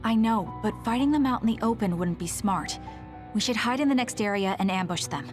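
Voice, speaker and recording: Woman acting as young female soldier, firm, terse, resolute, game dub, loud backing music. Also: medium pitch, lively intonation, brisk, natural voice. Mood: decisive. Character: determined young female soldier, resolute, guarded squad leader.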